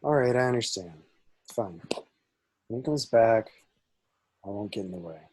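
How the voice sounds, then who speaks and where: A man's voice: on the low side, lively intonation, normal speed, a little mellow, slight background noise, lightly acted. A man playing a proud, jealous rogue hero in love, in an amateur table read. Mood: resigned, wounded.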